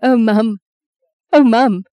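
A woman's voice: fairly high pitch, steady tone, moderate pace, dark tone, dry recording, pitched above the performer's own voice, lightly acted. A woman voicing a grief-stricken grown daughter in an audiobook, tearful, pleading and full of self-blame. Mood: distraught. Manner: pleading, emotional outbursts. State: anguished, tearful, sobbing. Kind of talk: dramatic audiobook character voice.

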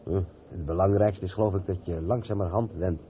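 An actor playing an experienced, patient truck driver who explains things step by step in a radio play. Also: male voice, low-pitched, fairly even intonation, brisk, natural voice. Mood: explaining, chatty. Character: experienced, patient long-haul truck driver showing the ropes. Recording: tinny, band-limited old radio recording with noise.

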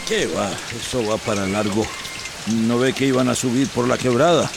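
An actor playing a calm, reassuring older local man with a gravelly voice in a radio drama, with a Central American Spanish accent. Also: mid-range voice, normal speed, noisy background, close to an everyday voice. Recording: rain and crowd murmur behind.

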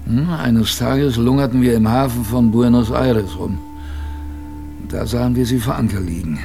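An actor playing a gruff but fond old sailor grandfather, telling his memories in a salty, colloquial radio-play manner. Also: mid-range voice, mellow, faint music behind, close to an everyday voice. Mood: wistful reminiscing. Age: older. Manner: colloquial, salty storytelling with musing pauses. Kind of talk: radio drama first-person narration.

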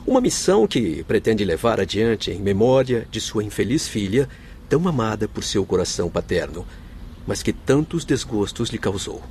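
An actor in a radio soap playing a noble, devoted doctor's spirit, speaking with formal, heartfelt solemnity. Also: male voice, fairly low pitch, very animated, moderate pace, darkish tone, a little hiss or hum. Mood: grave, kindly. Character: noble grieving Spanish doctor spirit, devoted forgiving husband. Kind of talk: radio soap opera acting.

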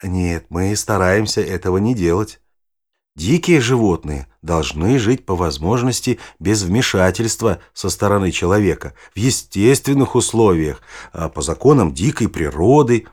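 An actor playing an older, knowledgeable naturalist grandfather explaining things patiently in an audio play, with a husky voice. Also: low voice, animated, moderate pace, a little mellow. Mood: calm, earnest.

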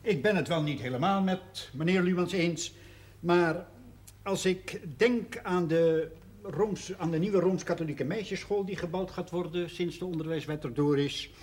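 A male village schoolmaster in a period television drama. His voice sits somewhat high for a man. He chooses his words with tact and speaks earnestly, diplomatically and with some hesitation. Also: a little slow, a little mellow, slight background noise. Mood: earnest, concerned. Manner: careful, diplomatic, hesitant in criticism.

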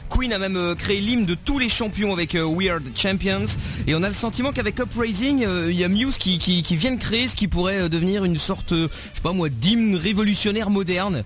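A man's voice: high voice, a little fast, few pauses, soft background music. A male radio host who asks questions with many fillers and restarts, and makes jokes at his own expense. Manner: hesitant, many fillers, joking. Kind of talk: radio interview.

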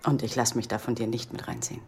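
A very deep-voiced, cool young woman. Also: fairly even intonation, fast, darkish tone. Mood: cool, sceptical. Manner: cool, dry, scornful. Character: guarded, sharp-tongued young woman with legal training. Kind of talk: radio play acting.

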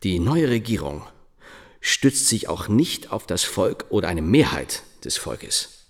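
A grave monarchist commentator in a radio play. The voice is deep and measured. He declaims formal prose with solemn indignation. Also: very animated, exaggerated voice. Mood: solemn, accusing. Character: grave, indignant male monarchist reading out a newspaper article. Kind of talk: formal read-aloud in a radio drama.

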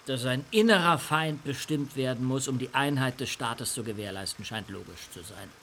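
An actor playing a troubled conductor in a radio play, speaking in an earnest, questioning way. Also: male voice, medium pitch, moderate pace, a bit bright, a little hiss or hum, natural voice. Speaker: orchestra conductor.

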